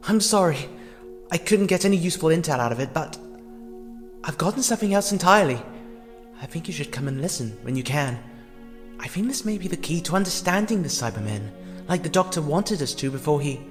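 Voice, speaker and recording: A man acting a compassionate, hesitant character in a fan audio drama, earnest and halting in his delivery. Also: fairly high pitch. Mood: sombre. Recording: long reverb, music underneath.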